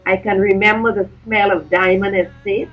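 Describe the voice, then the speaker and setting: Casting: older woman, very husky, dull lo-fi remote line, wistful guest. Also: mid-range voice, steady tone, normal speed, faint music behind. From Guyana. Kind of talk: remote interview, reminiscing.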